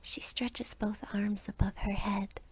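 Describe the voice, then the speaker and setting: A young woman narrating a scripted second-person ASMR story in a soft whisper. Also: medium pitch, little pitch movement, slow, dry recording. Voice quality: whispery, breathy.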